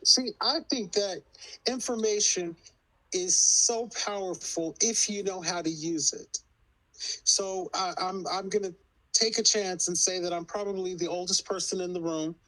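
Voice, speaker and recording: Woman, 60s, bright voice, earnest storyteller in a group talk. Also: on the low side, steady tone, a little slow, low-quality recording. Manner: earnest storytelling, urging others to speak up. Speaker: discussion participant. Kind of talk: group discussion.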